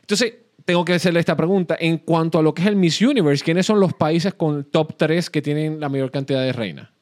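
A Puerto Rican host speaking a touch above the usual male pitch. He asks curious questions and restarts his phrases often, feeling his way toward the point. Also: fairly quick, darkish tone. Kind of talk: casual talk-show chat.

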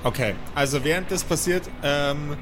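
Higher-voiced male game master over music. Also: normal speed. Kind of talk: improvised tabletop game narration. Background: background music.

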